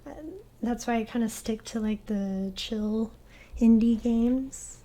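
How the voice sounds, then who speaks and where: A young woman streaming a game, chatting in a soft, hesitant way with lots of fillers. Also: on the high side, steady tone, slow, poor audio. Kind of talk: casual gaming stream chat.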